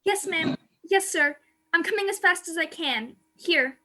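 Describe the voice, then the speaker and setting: A woman's voice: very high voice, unhurried, pushed and bright, heavily acted. A woman playing a meek, obedient young servant girl in a radio play. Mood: meek, flustered.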